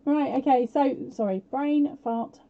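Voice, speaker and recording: A woman hosting a live prize quiz on her stream, chatty and self-deprecating with lots of fillers, her voice a little croaky. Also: high voice, a little slow. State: slightly croaky voice. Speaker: podcast host running a live prize quiz. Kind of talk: casual live-stream chat. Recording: dull lo-fi home stream audio.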